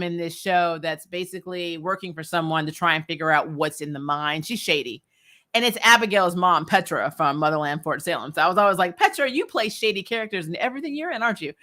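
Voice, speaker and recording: A woman giving a casual, slangy episode review as a host, animated and opinionated in her delivery. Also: lowish voice. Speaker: host of a TV recap show.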